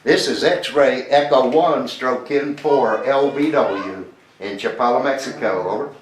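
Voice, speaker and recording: Male radio amateur, conversational and repetitive, medium pitch, echoey sound. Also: unhurried, slight background noise. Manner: chatty, repeats details, spells phonetically. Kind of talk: conversational ham radio contact. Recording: roomy, echoing ham radio audio.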